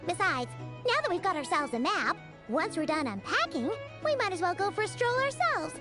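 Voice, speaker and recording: A woman's voice: very high voice, big pitch swings, moderate pace. A woman voicing a tiny childlike fairy companion in a video game, cheerful and chatty with exclamatory delivery. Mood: cheerful, eager. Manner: speaks of herself in third person, exclamatory, chirpy. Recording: background music under the voice.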